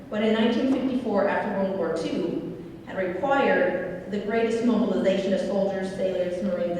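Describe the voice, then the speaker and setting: A woman's voice: mid-range voice, a little mellow. A woman in her forties, originally from Iowa, speaks at a memorial ceremony. She works from prepared remarks, catching and restarting her phrases now and then. Her voice rings through a large, reverberant hall and is picked up from some distance, with a faint background hiss. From Iowa, United States. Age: 40s. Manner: reads from prepared remarks with frequent small restarts and self-corrections. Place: large university event hall. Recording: echoey hall from far off, faint noise.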